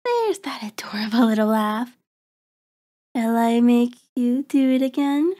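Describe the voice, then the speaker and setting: Woman playing a slow, soft-spoken seductive vampire. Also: on the high side, expressive. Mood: coaxing, teasing. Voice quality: soft, breathy, often dropping to a whisper. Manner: slow, deliberate, coaxing, controlling. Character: seductive, predatory female vampire, commanding and condescending. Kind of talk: ASMR roleplay.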